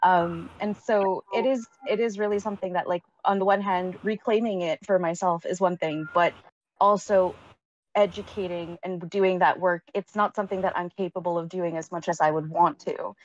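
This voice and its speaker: Woman panelist, low-ish voice, kinda halting, dull lo-fi audio. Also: fairly even intonation. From Canada. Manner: hesitant, many ums and restarts. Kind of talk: casual panel discussion. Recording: dull lo-fi sound.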